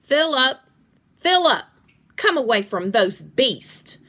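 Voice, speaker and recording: Woman reader as a mean storybook neighbour woman, brusque, crisp, impatient, lifted pitch. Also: very animated, takes it slowly, bright, forceful tone, exaggerated voice. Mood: impatient, alarmed.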